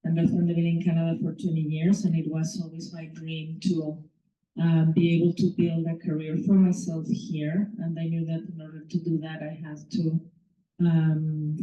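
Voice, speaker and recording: A woman from Mexico whose first language is Spanish, reading one of her short stories to an audience after a hesitant introduction. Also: deep voice. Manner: hesitant with many filler words, then steady reading. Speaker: author reading her story. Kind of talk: reading a short story aloud. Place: bookstore or small venue reading. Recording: distant mic, echoey room, dull sound.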